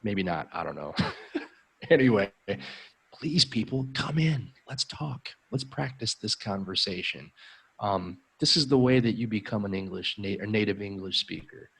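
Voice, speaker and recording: A man's voice: lowish voice, lively intonation, normal speed, gravelly, fairly bright tone, slightly rough. An American man hosting a live stream as an English tutor, chatting in a friendly, upbeat way with frequent ums. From United States. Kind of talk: casual live-stream chat.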